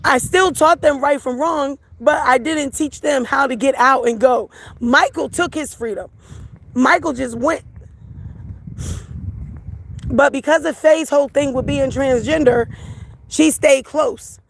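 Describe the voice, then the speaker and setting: A middle-aged woman on a livestream, her voice hoarse from crying, talking to viewers in a raw, emotional way. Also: sits high, unhurried, slight background noise. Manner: raw, emotional, repeats herself. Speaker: livestream host.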